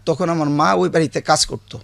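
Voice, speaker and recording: A man with a rural Bangladeshi accent, a guest recounting childhood memories in a halting way, often correcting himself. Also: fairly high pitch, fairly even intonation, gravelly, fairly bright tone.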